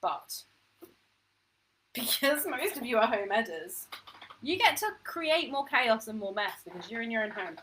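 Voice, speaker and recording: Chatty woman with a bright voice. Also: a little high, sing-song, normal speed, pauses often, a little hiss or hum, rough recording. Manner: chatty, self-deprecating, thinking aloud. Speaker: home education teacher. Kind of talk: informal teaching to camera.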